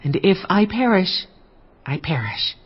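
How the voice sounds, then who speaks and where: An actress playing a grave, resolute queen in a dramatized scripture production, speaking in an earnest, measured way. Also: on the low side, sing-song, slightly rough, muffled recording, exaggerated voice.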